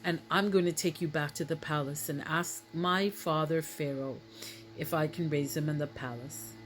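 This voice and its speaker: A woman voicing a kind, delighted Egyptian princess for a children's story, gentle and cooing. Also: deep voice, fairly even intonation, moderate pace, faint music behind, lightly acted, low-quality recording.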